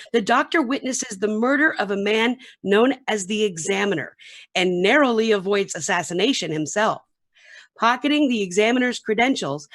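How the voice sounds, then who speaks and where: A woman reading scripted narration for a radio play. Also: medium pitch.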